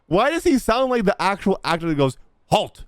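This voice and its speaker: A woman's voice: medium pitch, big pitch swings, moderate pace, no room sound, studio quality. A woman gaming streamer giving casual, playful commentary in a slangy, exclamatory way. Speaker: video game streamer.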